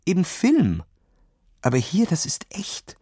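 Man as worried schoolboy, raised pitch, lively intonation, urgent pleading, cautious, children's audio story. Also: slow, husky, no room sound, clean studio sound, exaggerated voice. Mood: worried warning. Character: anxious, cautious schoolboy pleading with his companion.

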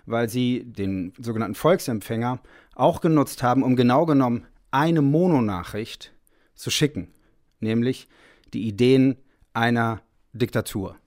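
A man's voice: mid-range voice, unhurried, darkish tone. A man explaining a point as an interviewee.

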